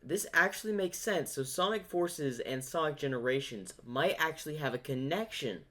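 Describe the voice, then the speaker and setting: A man's voice: fairly high pitch, animated, normal speed, fairly bright tone. Man giving rambling, speculative fan commentary. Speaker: reaction video host. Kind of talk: casual commentary on a fan comic dub.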